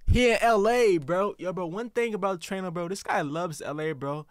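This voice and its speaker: A young man from the US East Coast reacting to a music video on his home stream, excitable and rambling, saying "bro" after nearly every phrase. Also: high voice, normal speed. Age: young adult. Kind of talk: casual reaction commentary. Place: home streaming room, indoors. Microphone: headset with desk mic close up. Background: faint song playing.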